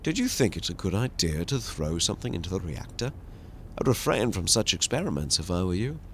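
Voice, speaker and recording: A man's voice: low-pitched, sing-song, a little fast, a bit bright, slight background noise. A man voicing a stern, middle-aged interrogator who talks in a curt, disapproving way. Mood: disdainful.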